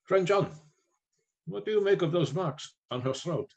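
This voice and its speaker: A man's voice: high-pitched, lively intonation, moderate pace, darkish tone, highs cut off. A man acting in a radio play as an older professor, a learned foreign doctor who speaks formally and gives grave, urgent orders. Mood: grave, commanding. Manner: imperative, formal, solemn phrasing.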